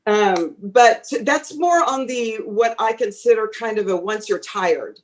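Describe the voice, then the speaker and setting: A woman's voice: a little high, a little slow. A woman voice teacher being interviewed, talking chattily and advising from experience, with lots of fillers and restarts. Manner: speaks from a teacher's experience, giving advice. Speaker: voice teacher, guest. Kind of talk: conversational interview answers.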